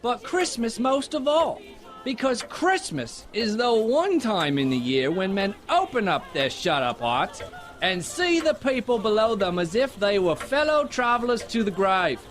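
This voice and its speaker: A man playing a cheerful, warm-hearted young nephew with a British accent, earnest and pleading, in an amateur audio drama. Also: high voice, sing-song, a little slow, exaggerated voice. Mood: earnest, heartfelt. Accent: put-on British.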